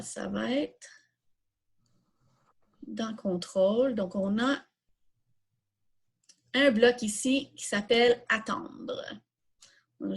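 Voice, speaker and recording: A woman's voice: medium pitch, slightly rough. A woman with a Quebec French accent leading an informal step-by-step coding tutorial, thinking aloud and posing questions that she then answers herself. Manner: self-questioning, explanatory. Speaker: coding workshop instructor.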